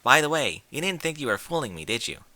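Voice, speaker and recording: A man's voice: medium pitch, big pitch swings, quick pace, bright, forceful tone, no room sound, voice pushed up, rough recording. A man voicing a brash, cocky young female pegasus pony in a story, talking in a blunt, slangy way. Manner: blunt, slangy, casual delivery.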